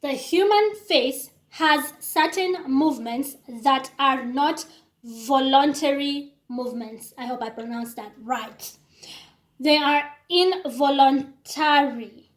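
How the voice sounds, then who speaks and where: A woman's voice: high-pitched, takes it slowly, reverberant. A woman from Kenya with a Kenyan accent, English not her first language, talking casually to her viewers in a chatty, digressive way. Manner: chatty and digressive, acts out quoted reactions. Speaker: vlogger. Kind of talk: casual talking-to-camera monologue.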